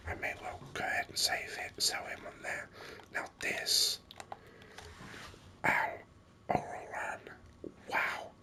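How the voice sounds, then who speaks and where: Gamer doing asmr commentary, all breathy whispering, really slow, kinda rambly and enthusiastic. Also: pushed and bright. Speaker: gaming video host.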